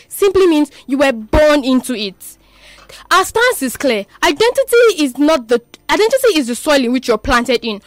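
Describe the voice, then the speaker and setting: A woman's voice: high voice, no echo. A young woman with a Nigerian accent gives an emphatic rehearsed debate speech as a student, stumbling and repeating phrases. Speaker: student debater, chief speaker for opposition.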